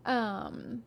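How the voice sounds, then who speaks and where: A woman's voice: on the high side, vocal fry, a bit bright, a little hiss or hum. A woman streaming live, reading a fantasy novel aloud and chatting with viewers in a friendly, rambling way with frequent ums.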